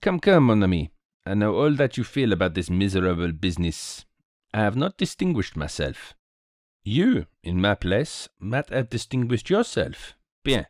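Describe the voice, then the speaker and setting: A man's voice: on the low side, big pitch swings, normal speed, darkish tone, no room sound, clean studio sound. A man voicing a small, cheerful, self-assured detective with a courteous, precise, theatrical manner, in a storybook reading. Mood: brisk, cheerful.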